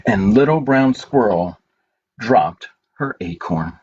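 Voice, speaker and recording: Slow-paced man reading for children, muffled sound. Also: on the low side, expressive, a little mellow, breathy edge. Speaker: library storytime host. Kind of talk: children's storytime read-aloud with singing. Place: at home, indoors. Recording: dull home recording with background noise.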